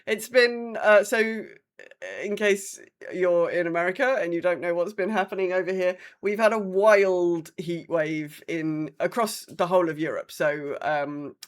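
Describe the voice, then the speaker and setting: A woman's voice: lowish voice, steady tone, unhurried. British woman chatting casually on a podcast. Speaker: podcast co-host. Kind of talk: casual chat.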